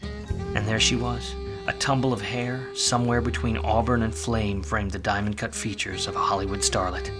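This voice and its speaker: Washed-up private eye for noir radio drama, male actor: wry, slangy, tough, lowish forceful voice, music underneath. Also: little pitch movement, normal speed, lightly acted. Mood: brooding, wry. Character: hardboiled, washed-up male private detective, wisecracking and world-weary. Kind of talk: film noir radio play, first-person narration and dialogue.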